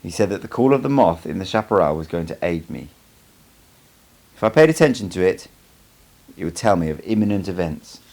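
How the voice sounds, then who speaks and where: A man narrates a first-person story from a written text. His voice sits low, with plenty of fry in it, in the style of an amateur audiobook reader. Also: darkish tone.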